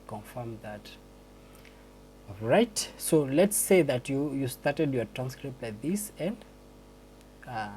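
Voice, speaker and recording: A man giving a screen-recorded tutorial on a transcription test, explaining slowly and hesitantly with many restarts. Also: medium pitch, warm tone, slight background noise, poor audio.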